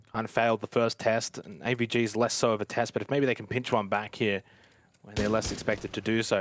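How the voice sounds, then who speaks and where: A man's voice: lowish voice, slight background noise. A man giving chatty, explanatory color commentary on an esports match, talking straight to the viewers. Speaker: esports analyst.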